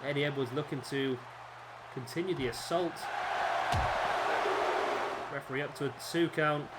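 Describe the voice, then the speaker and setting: Slightly hoarse man commentating a game. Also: on the high side, moderate pace, heavy background noise. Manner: relaxed, rambling, with fillers and self-corrections. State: slightly hoarse, losing his voice. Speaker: gameplay commentator. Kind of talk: casual play-by-play game commentary.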